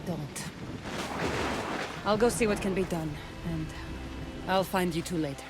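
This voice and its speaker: A woman's voice: fairly low pitch, unhurried, close to an everyday voice. An actress playing a tough female mercenary in a video game, serious, guarded and dryly spoken. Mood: firm, protective. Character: tough, level-headed female mercenary warrior. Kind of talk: video game cutscene acting. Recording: music behind the voice.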